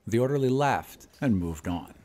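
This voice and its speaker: A man narrating an audio drama in a measured, expressive way. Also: mid-range voice.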